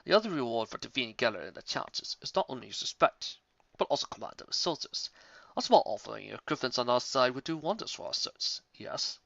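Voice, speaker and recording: A man voicing a learned, courteous male wizard pony, speaking in a measured, formal way in a storybook reading. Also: medium pitch, sing-song, gravelly, bright, forceful tone, dry recording, muffled recording, full character voice. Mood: persuasive.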